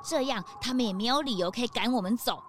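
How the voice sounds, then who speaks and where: A woman's voice: a little high, quick pace, background noise, natural voice. A woman voicing an eager, naive young detective sidekick in a children's audio drama, wide-eyed and full of questions. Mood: eager, surprised.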